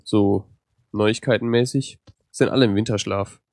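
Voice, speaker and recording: A man with a cold, co-hosting a podcast in a relaxed, rambling way with many fillers. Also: on the low side, moderate pace, no echo, studio quality. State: has a cold. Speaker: podcast co-host. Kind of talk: casual podcast chat.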